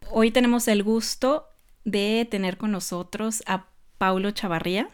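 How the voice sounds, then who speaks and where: A woman hosting a podcast, reading a scripted introduction and then welcoming her guest in a measured way. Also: medium pitch, steady tone, clean tone. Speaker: podcast host.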